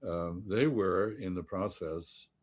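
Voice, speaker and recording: Deep, very slow man commenting deliberately. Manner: halting, deliberate. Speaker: expert guest. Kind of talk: interview commentary.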